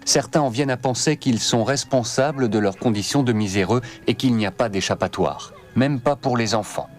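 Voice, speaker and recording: Male documentary narrator over soft music. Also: medium pitch, normal speed.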